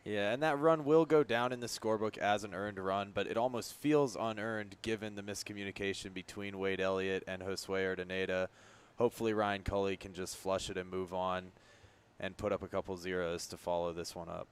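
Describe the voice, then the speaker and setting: A man's voice: low-pitched, steady tone, a little fast, clean tone. An American man giving color commentary on a live baseball radio broadcast. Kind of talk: live radio sports analysis. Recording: live broadcast with background noise. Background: ballpark ambience.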